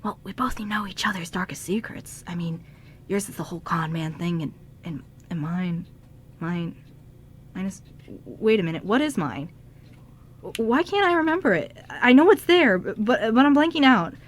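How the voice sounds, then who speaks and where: A woman's voice: mid-range voice, animated, a little hiss or hum, lightly acted. A woman playing an anxious, confused young man in an amateur radio drama, stammering and pleading. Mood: lost, anguished. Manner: stammering, halting.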